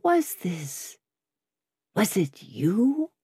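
Woman as old male prisoner, storybook drama, broken, haunted, halting delivery, dark soft tone, close dry sound. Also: medium pitch, no room sound. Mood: searching. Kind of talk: dramatic audiobook character reading.

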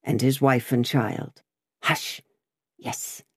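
A woman voicing a weary condemned Englishman who speaks quietly and gently. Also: very deep voice, steady tone, slow, husky, no room sound, studio quality, exaggerated voice. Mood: hushed.

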